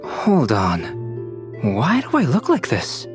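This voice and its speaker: A man playing a composed, analytical young alchemist in a video game, speaking in a measured, articulate way. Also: medium pitch, wildly swooping pitch. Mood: puzzled. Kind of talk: video game character acting. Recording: music playing behind the voice.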